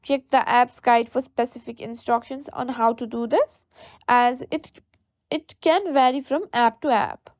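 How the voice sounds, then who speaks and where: A woman delivers scripted how-to narration in a high-pitched voice. The sound is dry and close, with very little room to it, as she takes the listener through instructions as a tutorial presenter. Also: a little slow, no echo.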